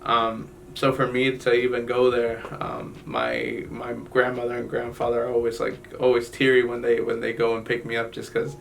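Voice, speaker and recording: A young man from Guatemala telling his family's story in an interview, halting, with many fillers and restarts. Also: mid-range voice, creaky, a bit bright, far from the mic, a little hiss or hum. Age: young adult.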